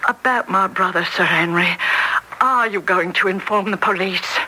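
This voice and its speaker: A woman's voice: mid-range voice, moderate pace, bright, forceful tone, slightly rough, low-quality recording. An actress playing an anxious, secretive woman in a radio drama, with an urgent, imploring manner. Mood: pleading.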